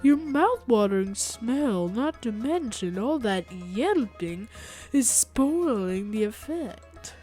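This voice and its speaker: Woman as a slow, snooty candy cane. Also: a little high, animated, mellow, soft background music. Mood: disdainful. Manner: drawling, haughty. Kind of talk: dramatic storybook reading.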